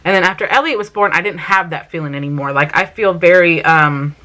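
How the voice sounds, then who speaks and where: Chatty Southern-accented woman, bright voice. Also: on the low side, brisk. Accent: Southern US (South Carolina). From South Carolina, raised in Vermont. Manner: chatty, many "um" and "like" fillers. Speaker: mom vlogger. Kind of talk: casual Q&A chat to camera.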